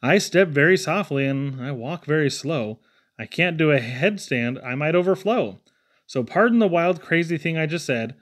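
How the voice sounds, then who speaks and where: A man reading children's poems aloud expressively, with theatrical pauses and emphatic swings. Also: on the high side, lively intonation. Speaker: poetry reader.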